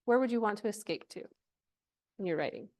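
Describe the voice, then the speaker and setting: A woman's voice: medium pitch, lively intonation, brisk, a little mellow. A woman giving an informal workshop presentation to a live group, chatty and encouraging, often checking in with questions.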